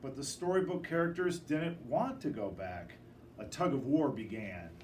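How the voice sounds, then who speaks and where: A man reading a children's picture book aloud in an expressive, dramatic storytelling manner. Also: mid-range voice, normal speed, echoey room. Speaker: storybook reader. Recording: distant microphone with faint background noise.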